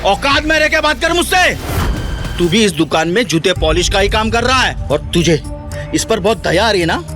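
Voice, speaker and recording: A man's voice: high voice, expressive, normal speed, music in the background. A man playing a haughty, hot-tempered shop boss in an audio drama, scolding with contempt. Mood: angry, contemptuous. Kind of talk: Hindi audio drama acting.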